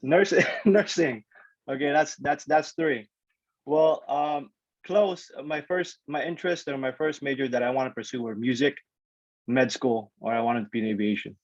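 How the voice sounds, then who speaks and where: A young man from the Philippines, raised on Guam, whose first languages are Ilocano and Tagalog, giving a relaxed, chatty alumni talk over a video call with lots of fillers and restarts. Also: mid-range voice, normal speed, dry recording. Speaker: alumni guest speaker. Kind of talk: casual presentation on a video call. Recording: dry, slightly dull call audio.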